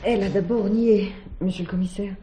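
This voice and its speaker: A woman's voice: medium pitch, fairly even intonation, fairly quick, music in the background, natural voice. A woman playing an earnest young student under questioning in a 1970s radio drama, careful, deferential and a little hesitant. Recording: echoey room, old drama recording.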